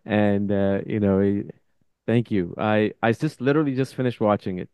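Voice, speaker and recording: A man's voice: fairly low pitch, normal speed, smooth, dry recording, highs cut off. A man hosting a podcast, chatting casually in an informal, effusive, loosely phrased way. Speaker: podcast host. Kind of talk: casual interview chat.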